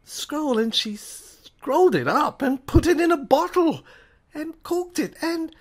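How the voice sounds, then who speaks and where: Female narrator for audio drama: high voice, drawn-out pace, faltering and intense first-person storytelling. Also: expressive. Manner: halting, repeating words, overwrought. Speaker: narrator reading an audio screenplay.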